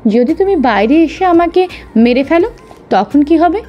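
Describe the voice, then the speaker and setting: A woman's voice: sits high, expressive, a little slow, dull audio, soft background music, voice pushed up. A woman voicing a timid, kind Brahmin man in a children's story. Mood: fearful.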